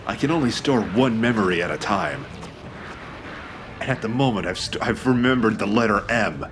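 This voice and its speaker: A man co-commentating on a video game in a casual style, making deadpan jokes. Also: on the low side, moderate pace, over music. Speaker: let's play co-commentator.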